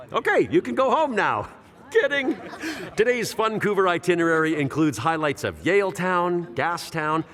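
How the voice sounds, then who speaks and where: A veteran tour guide for a radio play, jovial and hammy. His fairly high voice rises and dips in a lively way as he delivers puns like a proud dad-joker. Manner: showy, jokey, punning delivery.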